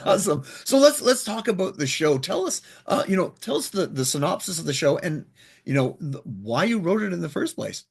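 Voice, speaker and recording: A man's voice: fairly high pitch, very animated, a little fast, creaky, slightly rough. A man hosting an interview show in a conversational style, putting questions to guests.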